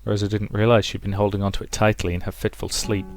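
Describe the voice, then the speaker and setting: A man reading a visual novel aloud as expressive story narration, as a let's player. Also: fairly low pitch, fast, dry recording. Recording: music behind the voice.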